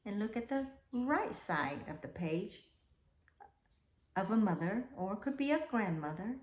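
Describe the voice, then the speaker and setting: A woman reading a children's picture book aloud expressively, often pausing to ask her young listeners questions. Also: medium pitch, lively intonation. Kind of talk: children's read-aloud, alternating slow reading with asides about the pictures. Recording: echoey, roomy sound.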